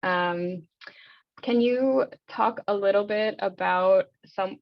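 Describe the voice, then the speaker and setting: Creaky-voiced woman moderating a webinar. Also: mid-range voice. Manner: halting, hesitant phrasing. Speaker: event host and moderator. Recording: slightly dull online call audio.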